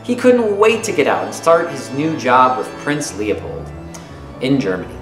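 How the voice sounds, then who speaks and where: Man, 30s, teacher-style read-aloud of a kids' nonfiction book. Lively, expressive pitch movement, roomy home recording. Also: normal speed. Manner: expressive, clearly phrased for young listeners. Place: small room at home, indoors. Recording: roomy echo with music behind. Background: music.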